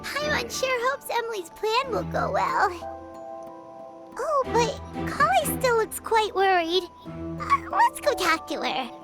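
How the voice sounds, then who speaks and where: A tiny, bubbly fairy companion squeaks and chirps, her voice leaping all over the place in an extremely high register. She sounds endlessly excitable, like a video game sidekick, with faint music playing underneath. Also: slow pace, full character voice. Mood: chirpy. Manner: talks about herself in third person. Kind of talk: game dialogue.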